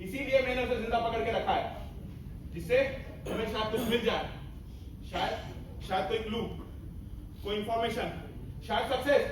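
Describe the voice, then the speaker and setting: A man's voice: extremely high pitch, moderate pace, long pauses. Man acting a commanding army major, echoey hall. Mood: insistent. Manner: authoritative, emphatic, mixes in English orders. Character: commanding, principled Indian army major guarding a prisoner. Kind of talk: theatrical Hindi stage acting. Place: stage of a hall at a student festival. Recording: echoey hall, far mic, faint noise.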